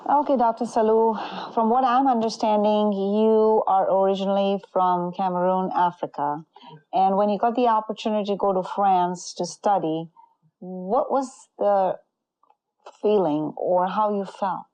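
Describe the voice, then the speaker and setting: A woman's voice: mid-range voice, steady tone, measured pace, mellow, highs cut off. A woman hosting her own talk show, greeting and questioning her guest in a friendly way. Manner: friendly, laughs easily. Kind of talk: interview hosting.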